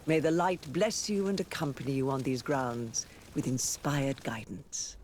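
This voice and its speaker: An actor playing a dignified, pious high cleric in a video game, speaking formally and solemnly. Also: animated, a little slow, a little hiss or hum, natural voice. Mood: gracious, solemn.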